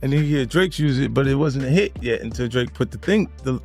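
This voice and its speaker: A quick-talking American man casually and insistently explains himself, circling back over his point. Faint music sits behind the conversation. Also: mid-range voice, expressive. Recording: music under conversation clip.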